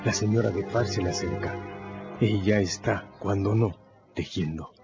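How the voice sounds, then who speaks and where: A man with a fairly low voice narrates a radio drama from a script. He tells the story dramatically, pausing deliberately. Music plays behind, and the recording is a touch dull.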